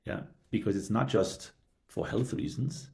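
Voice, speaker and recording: Middle-aged man, low voice, gravelly edge, earnest, halting, reflective interview guest. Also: darkish tone. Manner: earnest, halting, frequent restarts and tag "yeah". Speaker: podcast guest.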